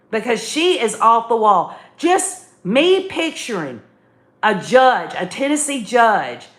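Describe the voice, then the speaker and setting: A woman's voice: a little high, fairly bright tone. A woman hosting an opinionated live commentary, speaking emphatically and repeating phrases as she addresses listeners in a folksy, direct way. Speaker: livestream host commentator. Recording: echoey room sound.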